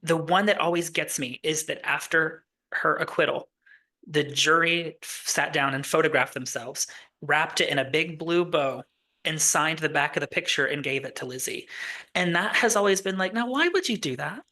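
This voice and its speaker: A playwright guest in a casual interview, talking in loose, rambling sentences. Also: male voice, a little high, moderate pace, bright, forceful tone. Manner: rambling, many fillers and restarts.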